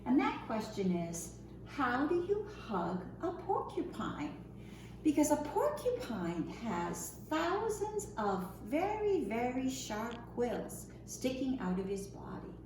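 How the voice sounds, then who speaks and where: Woman, children's storyteller, higher-pitched, expressive singsong delivery, roomy echo with faint noise. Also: slow pace. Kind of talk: read-aloud picture book storytime for young children.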